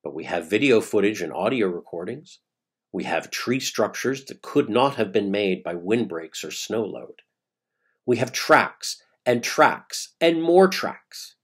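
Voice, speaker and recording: Deep-voiced man in dramatic monologue. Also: sing-song, slightly rough. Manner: emphatic, rhetorical questions, dramatic pauses. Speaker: playwright reading own monologue. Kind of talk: dramatic scripted monologue, conversational storytelling.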